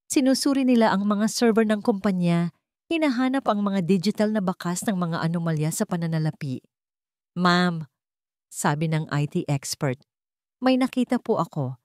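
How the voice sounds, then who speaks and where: A woman narrating a scripted dramatic story in a measured, dramatic storytelling manner. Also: medium pitch, darkish tone, no echo, clean studio sound.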